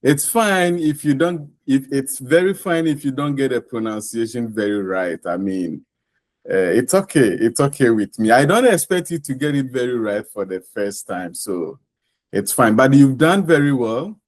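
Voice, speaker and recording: A man with a Ghanaian accent answering interview questions off the cuff, in deliberate, halting and emphatic phrases. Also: medium pitch, expressive, no echo. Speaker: interview guest, scholarship winner.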